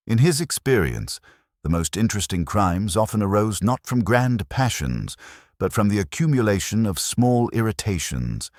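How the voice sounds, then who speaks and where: A man reading a scripted mystery story as a narrator, in a measured, literary manner. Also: low voice, no room sound, studio quality. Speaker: audiobook narrator.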